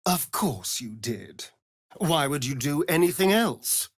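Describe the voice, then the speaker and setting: An actor voicing a vain, sardonic vampire elf rogue in a video game, with an arch, drawling, theatrical delivery. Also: male voice, on the high side, sing-song, slow pace, slightly rough, no room sound, studio quality, heavily acted. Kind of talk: video game character voice lines.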